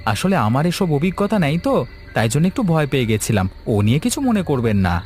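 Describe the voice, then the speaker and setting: A man's voice: on the high side, sing-song, fast, a little mellow, faint music behind. A man voicing an earnest, practical village fisherman in a cartoon ghost story. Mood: nervously polite. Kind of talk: animated ghost story dialogue.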